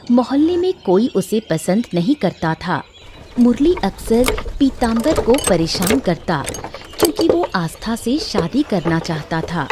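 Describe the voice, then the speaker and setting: Expressive, unhurried woman storyteller. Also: medium pitch, background noise. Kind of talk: scripted moral-story narration.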